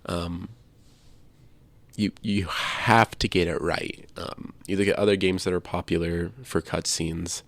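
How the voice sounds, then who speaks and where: A man with a low voice talks casually as a podcast co-host, recorded dry with very little room sound. He stresses his points and often restates them for emphasis. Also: steady tone, measured pace, dry recording. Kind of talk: casual discussion of video games.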